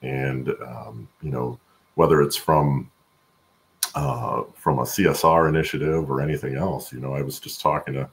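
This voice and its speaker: A middle-aged man with a gravelly voice answering interview questions conversationally on a video call from home, haltingly thinking aloud. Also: very deep voice, steady tone, a little hiss or hum. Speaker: guest, supply chain expert and voice-over announcer.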